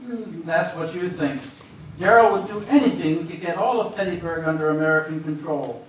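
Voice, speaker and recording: A high-voiced, agitated boss played by a man, suspicious and dramatic in a readers theater performance. The sound is distant, very reverberant and faintly noisy. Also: moderate pace, natural voice. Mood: suspicious, indignant. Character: anxious, suspicious male boss, paranoid about rivals. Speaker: amateur stage actor. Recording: echoey hall, distant mic, faint noise.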